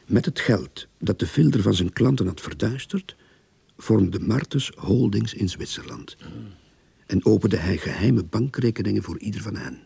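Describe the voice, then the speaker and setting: An actor playing a wry man narrating his own crime story in a radio drama, with a husky voice. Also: fairly low pitch, expressive, normal speed, mellow, dry recording, exaggerated voice. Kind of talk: radio drama acting with first-person narration.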